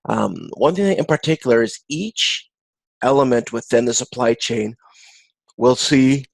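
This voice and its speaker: A man giving an informal online lecture as an instructor, explaining in a halting way and often rephrasing himself. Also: mid-range voice, lively intonation, vocal fry, dry recording, poor audio. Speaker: course instructor. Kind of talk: informal recorded video-conference lecture with slides.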